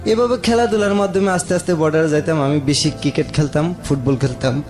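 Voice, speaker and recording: A man's voice: a little high, fast. A man in his late thirties with a Sylheti-region Bangladeshi accent, telling his own story plainly and respectfully as a radio guest. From rural Moulvibazar, Bangladesh. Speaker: interview guest. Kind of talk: personal storytelling in conversation. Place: radio studio. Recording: music playing behind the voice.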